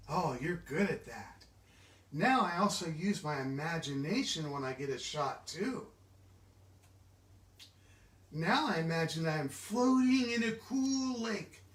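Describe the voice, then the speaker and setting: A man giving an animated, expressive children's storybook read-aloud, engaging his listeners directly. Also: sits high. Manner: expressive, interactive, asks listeners questions. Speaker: children's book reader. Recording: echoey room, distant mic.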